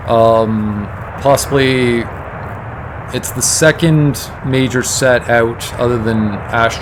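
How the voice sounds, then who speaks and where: A man's voice: lowish voice, background noise. A man from Toronto with a Canadian accent streams a game in casual chat, thinking aloud and correcting himself, his voice tired. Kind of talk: casual let's-play chat.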